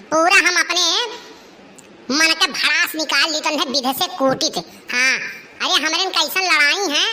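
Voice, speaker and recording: A woman's voice: high voice, sharp tone. A woman voicing quarrelsome, gossiping village women in a comedy cartoon, scolding and complaining in colloquial speech with an eastern Uttar Pradesh accent. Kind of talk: exaggerated cartoon comedy dialogue in rural dialect.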